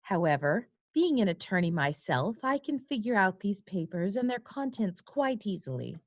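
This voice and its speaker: An actress playing a precise, competitive woman attorney in a noir comedy radio play, speaking in a formal, measured way. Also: mid-range voice, big pitch swings. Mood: cool, condescending. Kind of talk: comic noir radio acting.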